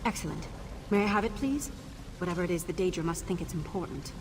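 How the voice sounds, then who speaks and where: A woman's voice: lowish voice, fast talker, close to an everyday voice. A woman playing a wry, brusque and businesslike investigator in a fantasy video game. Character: dry, sardonic, businesslike woman investigating a daedric plot. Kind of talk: video game character dialogue. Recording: clear background ambient noise.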